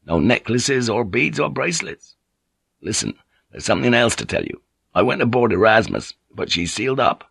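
A man voicing a calm, authoritative ship's pilot in an audiobook story, measured and reassuring. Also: mid-range voice, creaky, slightly rough, no echo, studio quality, exaggerated voice. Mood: matter-of-fact.

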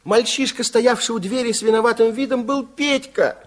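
A man narrating a radio fairy tale in an expressive, wry storytelling manner. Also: extremely high pitch, moderate pace.

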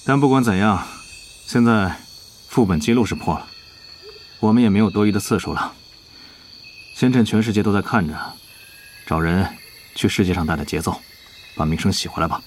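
An actor plays an authoritative male guild president in an audio drama. His voice is lowish and composed. Faint ambience with insects sits behind him. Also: moderate pace, long pauses, a little hiss or hum, lightly acted. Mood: composed, commanding.

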